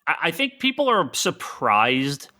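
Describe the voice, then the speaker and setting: A man's voice: on the high side, wildly swooping pitch, unhurried, sharp tone. A man talking casually on a comic book discussion podcast, explaining things informally as a retailer on the panel.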